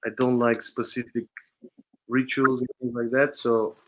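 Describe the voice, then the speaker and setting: Man in his thirties, Bulgarian accent, earnest, halting with ums, middle pitch. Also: little pitch movement, fairly bright tone, poor audio. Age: 30s. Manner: earnest, searching for words with many ums. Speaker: guest, sales trainer and consultant. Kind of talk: casual interview talk.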